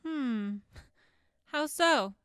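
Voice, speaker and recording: A woman's voice: high voice, animated, drawn-out words, a bit bright, dry recording, clean studio sound, exaggerated voice. An actress playing a composed woman prosecutor who questions formally and pointedly in an audio drama courtroom. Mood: probing, measured.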